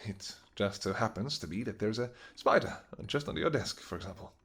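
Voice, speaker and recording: A man playing a devoted vampire king in an intimate audio roleplay, speaking earnestly and gently in halting, formal, old-fashioned words. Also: on the low side, expressive, gravelly, husky, full character voice. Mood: tender, reassuring. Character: devoted vampire king, tender, anxious, apologetic husband.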